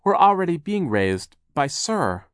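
A man's voice: on the high side, sing-song, unhurried, dry recording, studio quality, voice pushed up. A man voicing a curt, defiant young girl in a storybook, indignant and insistent. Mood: accusing.

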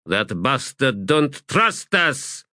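A man's voice: mid-range voice, big pitch swings, very slow, bright, forceful tone, no room sound, studio quality, pitched above the performer's own voice, exaggerated voice. A man voicing a babbling, callous soldier shaman in an audiobook, with folksy bad grammar. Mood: chatty, unbothered. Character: slovenly, chatty male soldier shaman, cheerfully callous.

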